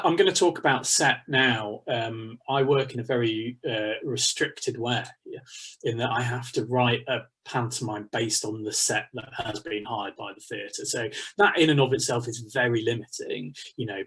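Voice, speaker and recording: British male workshop leader, husky, mid-pitched, chatty and discursive, on a video call. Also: moderate pace, fairly bright tone. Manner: chatty, discursive, thinking aloud. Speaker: pantomime writer leading a workshop. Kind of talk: informal workshop talk. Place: small home study, indoors. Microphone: computer mic on a video call.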